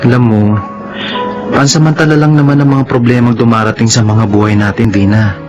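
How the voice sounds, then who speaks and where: A man's voice: mid-range voice, flat delivery, brisk, natural voice. A man with a Filipino accent playing a polite, caring young delivery rider in a radio drama, reassuring, with dull sound and music behind. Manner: respectful, reassuring.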